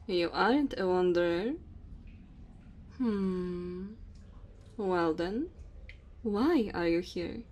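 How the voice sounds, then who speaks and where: A woman voicing a dominant, teasing female dragon in an ASMR roleplay, speaking slowly and theatrically to the listener. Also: lowish voice, lively intonation, darkish tone, heavily acted. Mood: curious, amused. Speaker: ASMR voice performer. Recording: faint cave ambience noise. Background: cave and fire sound effects.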